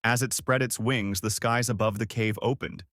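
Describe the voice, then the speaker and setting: A man's voice: mid-range voice, fairly even intonation, moderate pace, a bit bright, dry recording, studio quality. A man narrating a scripted fairy tale for children as a storyteller.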